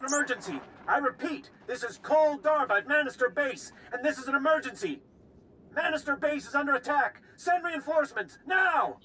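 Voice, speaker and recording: An actor playing a frantic soldier calling urgently for help in a video game, shouting his message. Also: very high-pitched, expressive, moderate pace, full character voice, rough recording. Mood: panicked, urgent. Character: frantic male soldier sending emergency call.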